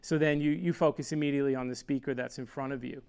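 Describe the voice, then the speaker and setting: Older man explaining audio gear, smooth voice. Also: medium pitch, fairly even intonation, fast talker, a little mellow. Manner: technical, rambling, with frequent fillers and restarts. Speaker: audio designer explaining a product. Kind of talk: product explainer. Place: small studio with wooden backdrop. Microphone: lapel mic.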